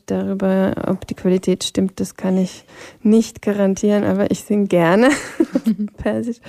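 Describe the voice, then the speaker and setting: A woman from Vienna with Polish as her first language, with a gravelly, mellow voice and a fairly flat melody. She talks as a guest singer, pausing and hunting for the right word. The sound is dry and close. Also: medium pitch, dry recording. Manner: thoughtful, pauses to search for words. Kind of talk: interview conversation.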